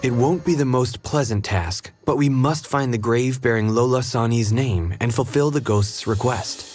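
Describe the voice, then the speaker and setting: An actor voicing a calm, matter-of-fact detective in a game. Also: male voice, medium pitch, normal speed, faint music behind, close to an everyday voice.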